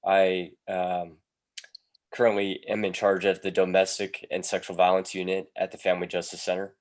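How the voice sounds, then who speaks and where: A man, a police sergeant giving a presentation over a video call, sounding congested from a cold and pausing often with ums. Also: low-pitched, completely flat delivery. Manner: hesitant, many ums between phrases.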